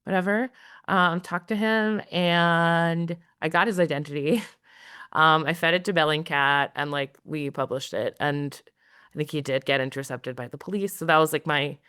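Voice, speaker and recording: Low-voiced woman, quick and wry. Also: steady tone, fairly bright tone, clear, resonant tone, dry recording, studio quality. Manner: fast, filler-heavy, wry. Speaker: guest journalist and author. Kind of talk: casual interview talk.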